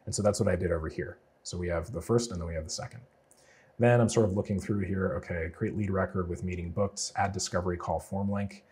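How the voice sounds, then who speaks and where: A man with a low voice that sounds raspy from a lingering cold. He talks briskly and casually as he explains a software build step by step on screen. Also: steady tone. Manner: casual, explanatory, loose with fillers. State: getting over a cold. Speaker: automation freelancer teaching a client build. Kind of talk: screen-share tutorial walkthrough.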